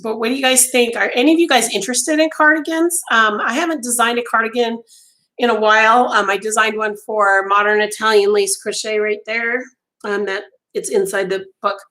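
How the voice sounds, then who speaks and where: A woman's voice: fairly high pitch, steady tone, brisk, sharp tone, poor audio. A middle-aged woman losing her voice, chatting casually with live viewers from a small home craft room over a webcam. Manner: chatty, many ums and restarts, talks with her hands. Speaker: livestream host.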